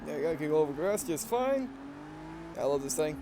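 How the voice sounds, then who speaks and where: A man's voice: fairly high pitch, brisk, background noise. A man with an accent mixing Southern and Russian features, rambling and correcting himself as he gives casual commentary on a racing game he is playing. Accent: mixed Southern and Russian. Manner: rambling, self-correcting, excitable reactions. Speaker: let's play gamer.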